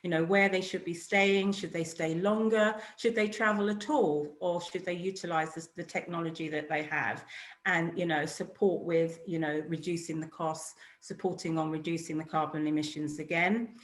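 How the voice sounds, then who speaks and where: A woman with a fairly low voice speaks in a businesslike way, running through lists of points. She talks over a video call. The room around her is echoey. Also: steady tone, normal speed. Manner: businesslike, piling up lists and restarts. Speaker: corporate travel buyer, panelist. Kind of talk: webinar panel discussion.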